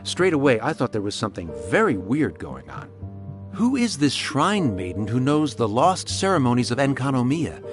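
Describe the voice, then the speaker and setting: Man playing an earnest, lively-voiced soldier. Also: mid-range voice, normal speed, lightly acted. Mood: confiding. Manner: earnest, storytelling. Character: friendly male island soldier, earnest storyteller. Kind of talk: video game character voice acting. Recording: background music.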